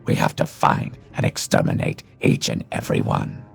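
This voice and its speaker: A man playing a commanding leader of fantasy adventurers, gravelly and authoritative, in audiobook dialogue. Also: normal speed, faint music behind, close to an everyday voice. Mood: grim, resolute. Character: commanding male adventurer leading a party of vampire hunters.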